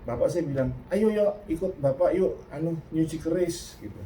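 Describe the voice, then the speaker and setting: An older Indonesian man giving a casual reaction talk, reflective and earnest, pausing and restarting often. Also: on the high side, expressive, dark tone, slight background noise, low-quality recording. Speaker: host.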